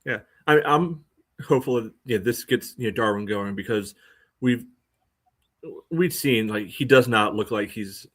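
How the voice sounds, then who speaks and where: Low-voiced man, halting sports chat. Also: a bit bright. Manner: halting, conversational, peppered with "you know". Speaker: podcast co-host. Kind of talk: casual sports podcast analysis.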